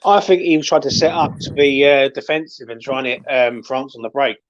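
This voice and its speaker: A man's voice: medium pitch, brisk, lo-fi sound. A man hosting casual football chat, emphatic and opinionated, repeating himself to stress his points. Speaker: host of a football discussion show.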